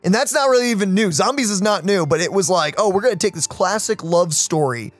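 A man's voice: high voice, expressive, fairly quick, no room sound, soft background music. A man co-hosting a let's play, giving casual, conversational gaming commentary with a joking manner.

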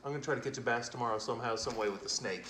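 A man's voice: mid-range voice, racing, bright, forceful tone. A man in his forties, a fishing channel host, chatting playfully and joking with his kids while unboxing at a table indoors. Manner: cheerful, joking, laughs often. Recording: echoey room with background noise.

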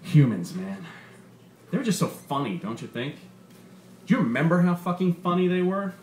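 A man's voice: fairly high pitch, lively intonation, normal speed, darkish tone, pitched above the performer's own voice, natural voice. Man doing a sardonic, foul-mouthed stand-up comic, roomy. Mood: mock nostalgic. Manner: sardonic, profane stand-up delivery with punchy emphasis. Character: bitter, sardonic robot stand-up comedian, profane and menacing. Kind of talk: stand-up comedy routine in a short story reading. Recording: roomy bar with faint background noise.

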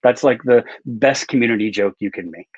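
A man's voice: mid-range voice, fairly quick, slightly rough, dry recording. American man, slightly dull video-call sound. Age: middle-aged. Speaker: author being interviewed. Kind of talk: casual conversational interview. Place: book-lined room at home, on a video call.

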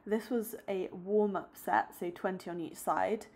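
A young woman vlogging about her day and workouts, chatting upbeat to a close phone camera, then calmly explaining each exercise in a voice-over. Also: mid-range voice, a little slow, vocal fry. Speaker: fitness vlogger. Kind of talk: casual vlog chat and workout voice-over.

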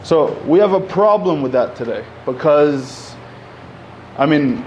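A man's voice: fairly high pitch, animated, a little slow, a little mellow, slight background noise, low-quality recording. Casual young man speaking at a podium. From Egypt. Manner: conversational, many fillers, gestures while speaking. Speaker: panel speaker. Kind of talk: public talk at a community event. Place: community center hall. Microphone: podium mic.

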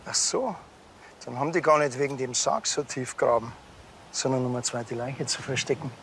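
An actor playing a wry, unhurried policeman with a Bavarian accent and a dry, colloquial manner in a TV crime comedy. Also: medium pitch, a bit bright, a little hiss or hum, natural voice. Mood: wry, matter-of-fact.

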